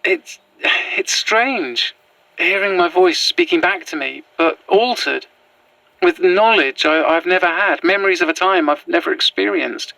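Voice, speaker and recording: Earnest haunted young runaway, bright tense voice, hesitant, stammering, audio drama. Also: female voice, fairly low pitch, expressive, a little slow, gravelly, distant mic, full character voice, poor audio. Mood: tentative, grateful. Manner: hesitant, stammering, breathless phrasing. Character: anxious, earnest young adult on the run, haunted. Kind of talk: naturalistic audio drama acting.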